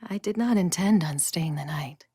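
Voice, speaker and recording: An actress playing a coy, elusive young lady in a game cutscene, speaking softly and breathily. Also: fairly low pitch, moderate pace, slightly rough, dry recording, studio quality. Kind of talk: video game cutscene acting.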